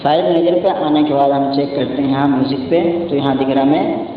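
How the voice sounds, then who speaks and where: A man's voice: mid-range voice, steady tone, a little fast. A man hosting a casual step-by-step phone app tutorial, often repeating little check-in phrases as he explains. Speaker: tutorial host. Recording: echoey, muffled, distant mic, noisy background.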